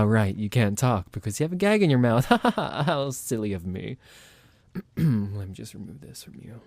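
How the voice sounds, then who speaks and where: A man's voice: medium pitch, no echo, close to an everyday voice. A man playing a psychotic vampire doctor in an ASMR roleplay, condescending and playful toward a captive, with mock-polite, hesitant, musing delivery. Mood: smug, condescending, then stern, commanding.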